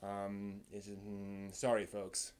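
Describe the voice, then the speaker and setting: A man hosting a live stream, giving casual unscripted commentary in a halting, rambling way that repeats words and trails off. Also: lowish voice, monotone, darkish tone, clear, resonant tone. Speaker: live stream host and anime reviewer.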